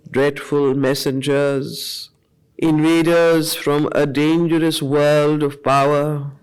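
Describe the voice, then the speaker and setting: Slow, deliberate woman reading poetry. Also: low voice, darkish tone, smooth, poor audio. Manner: deliberate, measured, pausing between short phrases. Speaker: study circle facilitator. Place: study circle session.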